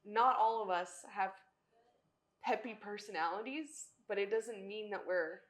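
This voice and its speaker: Young russian-born woman cohosting a chat, animated storyteller, regular pitch. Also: a little slow. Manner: animated storyteller, acts out quotes with laughter. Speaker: podcast co-host, former cheerleader. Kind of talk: casual chat.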